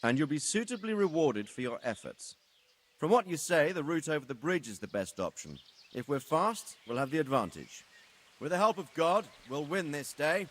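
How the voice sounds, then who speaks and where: An actor playing an authoritative medieval lord and military commander in a video game cutscene, speaking deliberately. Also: male voice, a little high, sing-song, a bit bright. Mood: deliberating, resolute. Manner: authoritative, measured, questioning. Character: commanding, deliberate medieval nobleman leading soldiers.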